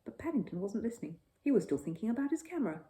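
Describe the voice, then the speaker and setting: A woman narrates a children's bedtime story. Her tone is mellow and soft-edged, and her pitch moves expressively up and down as the scripted reading goes along. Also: sing-song, racing.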